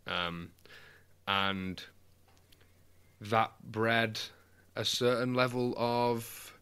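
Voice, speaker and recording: Mancunian guy, low bright voice, smooth and crisp, candid guest on a video call. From England. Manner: candid, self-deprecating, casual swearing. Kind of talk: conversational interview.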